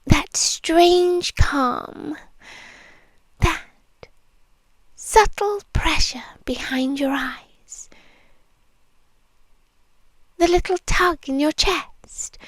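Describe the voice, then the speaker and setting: Slow whispering British demon girlfriend played by a woman. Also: high voice, no room sound. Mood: soothing, tender. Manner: slow, breathy, drawn-out, soothing yet possessive. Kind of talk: ASMR roleplay, intimate soft whispering. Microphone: close microphone, dry.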